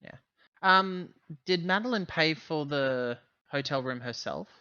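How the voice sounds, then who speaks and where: A man's voice: a little high, a little slow, sharp tone, clear, resonant tone, dull audio. A man chatting casually as a player in a tabletop roleplaying game. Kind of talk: casual game chat and questions.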